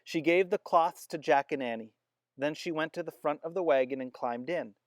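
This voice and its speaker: A man reading a children's chapter book aloud with expressive storytelling. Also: a little high, normal speed, dry recording. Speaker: narrator.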